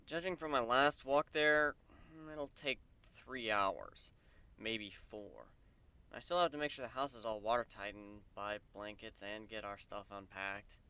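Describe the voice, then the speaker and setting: A man's voice: lowish voice, measured pace, bright, forceful tone, clear, resonant tone. A man playing an earnest, easygoing male pegasus in a fantasy story, talking with a mild grumble. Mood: practical, grumbling.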